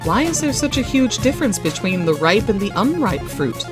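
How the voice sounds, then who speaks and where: A storyteller voicing an excitable, opinionated dinner guest in a short story, speaking emphatically. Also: medium pitch, moderate pace, loud music behind the voice, voice pushed up, close to an everyday voice. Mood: puzzled.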